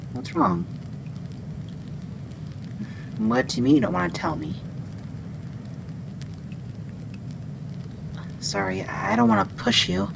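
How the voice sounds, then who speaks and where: A woman playing a friendly, soft-spoken female wendigo in an ASMR storytelling roleplay. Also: fairly low pitch, gravelly, a little hiss or hum, exaggerated voice, rough recording. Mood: gentle, apologetic. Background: music.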